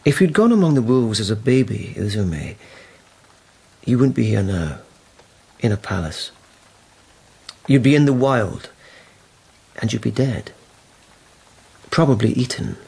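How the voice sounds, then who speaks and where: An actor playing a shrewd court investigator in a radio period drama, measured, wry and insinuating. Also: male voice, mid-range voice, sing-song, vocal fry, darkish tone. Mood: probing.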